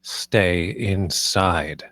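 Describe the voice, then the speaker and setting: A man acting a stern, very slow-spoken lord. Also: low voice, a bit bright, dry recording, full character voice. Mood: stern, guarded. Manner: terse, commanding. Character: stern, curt lord of a snowbound manor.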